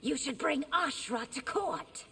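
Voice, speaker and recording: A woman's voice: high voice, animated, a little slow. A woman voicing an imperious empress in a video game, regal and commanding. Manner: regal, forceful. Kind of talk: video game character acting. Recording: long hall reverb, faint noise.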